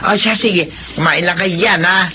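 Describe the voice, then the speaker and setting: A woman's voice: fairly low pitch, normal speed, noisy background, lightly acted. A woman with a Filipino accent acting as a kindly, cheerful old grandmother in a radio drama. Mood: cheerful, doting. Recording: dull radio recording under clear music.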